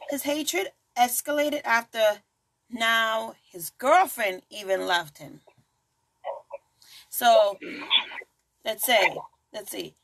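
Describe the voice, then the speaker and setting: A woman's voice: on the high side, fairly bright tone. A woman hosting a true crime podcast in a casual, chatty way, full of asides and jokes. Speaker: podcast host.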